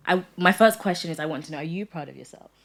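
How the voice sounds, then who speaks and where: A woman's voice: lowish voice, fast, fairly bright tone. A young woman hosting a podcast, chatting with an enthusiastic, encouraging manner. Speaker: podcast host. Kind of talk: casual interview chat.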